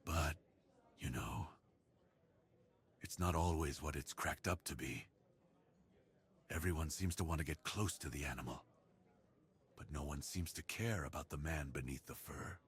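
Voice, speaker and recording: Man voicing a very deep, husky brooding werewolf. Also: exaggerated voice. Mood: brooding, wistful. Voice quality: husky, low, breathy. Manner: brooding, slow, confiding. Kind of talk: intimate ASMR roleplay monologue.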